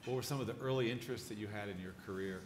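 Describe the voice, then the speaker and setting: A man's voice: lowish voice, racing. Ceremonious man hosting in an echoey room. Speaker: interviewer and moderator. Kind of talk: formal live on-stage hosting. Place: live event in a large room. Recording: echoey, noisy event room.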